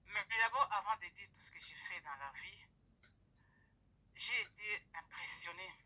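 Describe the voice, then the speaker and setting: A middle-aged woman from the Democratic Republic of the Congo giving a personal testimony to an audience, hesitant, with many pauses and fillers. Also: fairly low pitch, pushed and bright, slightly rough. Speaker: guest speaker. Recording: tinny phone-like recording.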